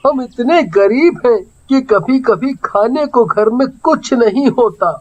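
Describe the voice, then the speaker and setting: A woman's voice: a little high, expressive, measured pace, darkish tone, dull audio. A woman playing a poor, troubled, honest fisherman in a children's cartoon story, speaking earnestly.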